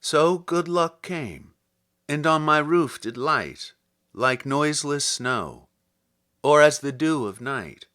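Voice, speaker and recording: Guy reciting old poems, bright forceful voice, takes his time, very theatrical. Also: on the high side. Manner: theatrical, deliberate, expressive. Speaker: podcast host and poetry reader. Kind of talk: dramatic poetry recitation.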